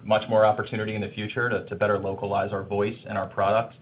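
Fast-talking low-voiced male executive. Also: flat delivery, slight background noise. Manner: brisk, with filler uhs and repeated words. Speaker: company executive. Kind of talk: earnings call answers. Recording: muffled conference call line.

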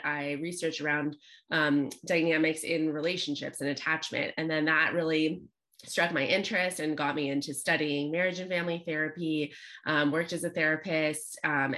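Low-pitched woman from Los Angeles, chatty and upbeat guest coach. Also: bright, forceful tone. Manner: chatty, upbeat, frequent fillers. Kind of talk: podcast interview.

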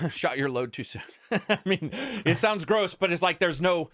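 A man's voice: a little high, expressive, measured pace, fairly bright tone, no echo. A man hosting a gaming podcast in casual, unscripted chat, with an informal and self-deprecating manner.

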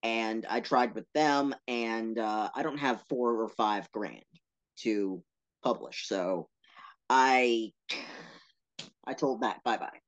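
A man talking as a podcast guest about his work, earnest and animated, with playful asides. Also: lowish voice, takes it slowly, long pauses. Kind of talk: casual interview talk.

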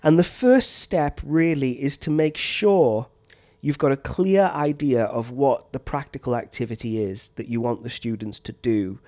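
A man talks teachers through classroom activities in a relaxed, unscripted way. He fills pauses with ums and restarts his phrases, and a slight cough lingers from a chest infection. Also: mid-range voice. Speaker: science teacher. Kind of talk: teacher training talk.

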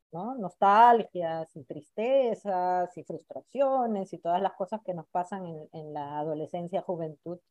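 A young adult woman born in Israel, a guest on a podcast, chatting in a relaxed way and laughing lightly as she tells how she got into therapy work. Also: mid-range voice, dry recording, muffled recording. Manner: relaxed, chatty, laughs lightly while telling her story. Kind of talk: casual interview storytelling.

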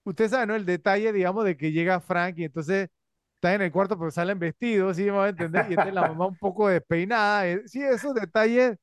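A man's voice: sits high, brisk, clean tone, no room sound, studio quality. A man co-hosting a movie podcast, rambling casually with many fillers and tag questions. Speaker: podcast co-host. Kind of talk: casual movie review chat.

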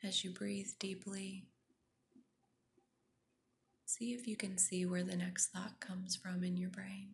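Slow, whispery woman guiding meditation. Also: medium pitch, little pitch movement. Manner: soft, breathy near-whisper, soothing and gentle. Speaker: meditation guide, podcast host. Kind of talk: guided meditation.

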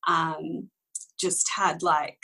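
A woman in her twenties, whose was Korean, speaks with a slightly low voice. She tells her story candidly in a casual interview, wandering and correcting herself along the way. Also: level tone, drawn-out words, creaky, bright, forceful tone, breathy edge, poor audio. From Australia. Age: young adult. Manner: rambling, candid, many ums and self-corrections. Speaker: interview guest.

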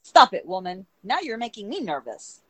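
A woman's voice: medium pitch, sing-song, normal speed, fairly bright tone, breathy edge, no echo. A woman playing an edgy, eccentric woman, guarded yet frank, in an audio drama. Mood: uneasy. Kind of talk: amateur audio drama dialogue.

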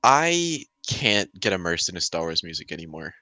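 A man's voice: deep voice, sing-song, moderate pace, sharp tone, no echo. A man co-hosting a podcast, chatting informally with friends and acting out bits of paraphrased dialogue. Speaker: podcast co-host. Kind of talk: casual chat with friends.

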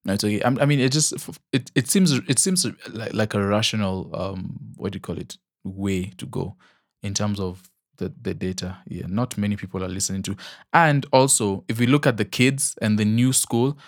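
A young man with a fairly low voice co-hosts a podcast in loose, casual chat. He rambles, backtracks and fills pauses with ums. The recording is close and dry, with no room sound. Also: dry recording. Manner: rambling, many ums and restarts, laughs easily. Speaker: podcast co-host.

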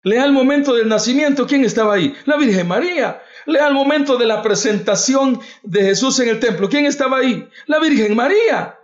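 Fervent man preaching, lively pitch. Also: brisk, few pauses. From El Salvador. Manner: fervent, calls listeners "brother", repeats rhetorical questions. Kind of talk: livestreamed devotional talk. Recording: dull lo-fi recording.

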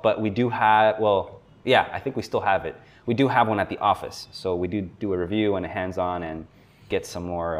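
Laid-back young man with a low voice. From South Florida, USA. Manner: relaxed, rambling, laughs often. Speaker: podcast guest, tech journalist. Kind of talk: casual conversation.

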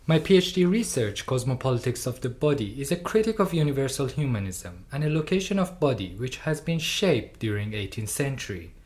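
Measured speaker. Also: mid-range voice, big pitch swings, a little mellow. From Iran. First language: Farsi. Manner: measured, personal and earnest. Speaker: artist and doctoral researcher. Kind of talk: reflective lecture performance.

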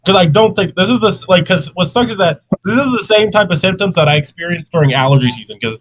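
A man's voice: sits high, lively intonation, quick pace, a crowd in the background, poor audio. A young man who is sick and coughing, hosting a casual podcast chat in a rambling, profane way. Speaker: podcast host.

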